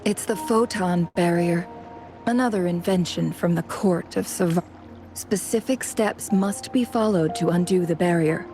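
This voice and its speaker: A composed scholarly young game heroine, slightly low voice, formal n measured, music playing behind. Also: female voice, dark tone. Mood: instructive. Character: composed, scholarly young woman explaining ancient inventions, measured. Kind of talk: scripted game dialogue.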